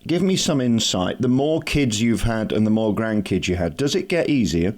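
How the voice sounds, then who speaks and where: A man's voice: on the low side, sing-song, moderate pace. A man hosting an interview, chatty and teasing, with frequent agreeing murmurs. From England. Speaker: interviewer, radio host. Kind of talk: casual interview chat.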